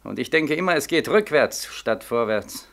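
An actor in a 1940s German radio play voicing a bitter, despairing young soldier home from war, sarcastic and emphatic. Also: male voice, mid-range voice, animated, moderate pace, fairly bright tone. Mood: sullen, weary. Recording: vintage 1940s radio studio recording.

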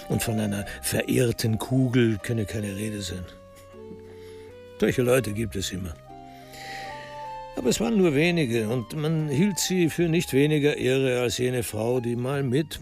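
A man narrating a scripted radio crime drama in a wry, measured storytelling manner. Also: lowish voice, vocal fry.

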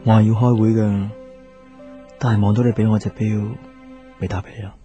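An actor playing a lovesick, hard-working young office boss in a radio drama, earnest and understated. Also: male voice, lowish voice, flat delivery, a little fast, mellow, soft background music, natural voice. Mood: longing. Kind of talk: Cantonese radio drama acting.

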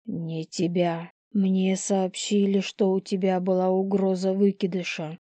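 A woman's voice: medium pitch, level tone, measured pace, dark tone, dry recording, close to an everyday voice. A woman voicing a cold, contemptuous male werewolf clan leader in audiobook dialogue, curt and indifferent. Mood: cold, dismissive.

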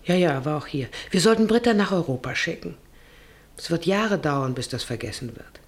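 A woman's voice: sits low, expressive, normal speed. An actress playing an anxious, careworn middle-aged farmer's wife and mother, speaking hesitantly and earnestly in a German radio play. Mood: worried.

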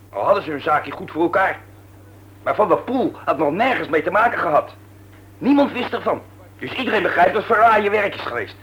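An actor playing a defiant young worker in a radio drama, accusing and sarcastic, bitter at being betrayed. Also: male voice, sits high, fairly bright tone, slightly rough, exaggerated voice, low-quality recording. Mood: reproachful.

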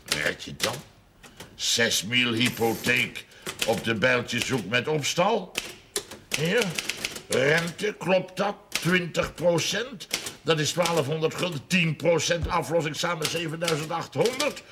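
A man's voice: a little high, slow. A middle-aged man playing a blunt, shrewd village businessman in a television period drama. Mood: brisk, indignant. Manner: emphatic, plain-spoken, forceful. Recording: faint background noise on TV audio.

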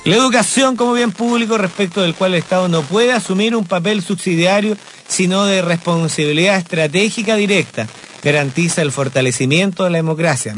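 Radio host reading news. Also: male voice, fairly high pitch, fairly bright tone, a little hiss or hum. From Chile. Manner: jokey, reads statements aloud with banter.

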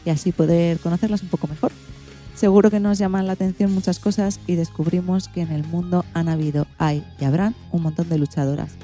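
A woman's voice: fairly low pitch, fairly even intonation, a little fast, warm tone, faint music behind. A woman with an accent from Spain, presenting an informal radio show and explaining things to a family audience. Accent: Spanish, from Spain.

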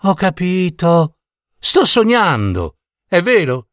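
Young storybook girl put on by a male narrator, wondering, high lively voice, dry sound. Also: slow, dry recording, heavily acted. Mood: longing. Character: bewildered young girl dressed as a princess.

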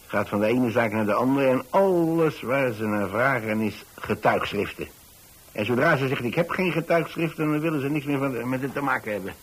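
A man's voice: medium pitch, animated, a little fast, breathy edge, rough recording. An actor playing a kindly retired landlord, an older man telling a story in a hesitant, folksy, conversational way for radio drama. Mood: chatty, sympathetic. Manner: hesitant, folksy, chatty, with small asides. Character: kindly retired landlord telling about his young lodger.

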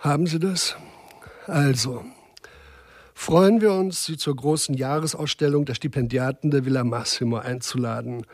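An actor playing an officious, courtly villa director in a radio drama, dictating in a formal, pompous manner. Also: male voice, medium pitch, normal speed, a little mellow, dry recording, soft background music, lightly acted.

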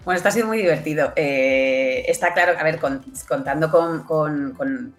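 A woman's voice: deep voice, moderate pace, faint music behind. A woman giving chatty, emphatic answers as an interview guest, repeating herself for stress. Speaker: guest, audio fiction producer.

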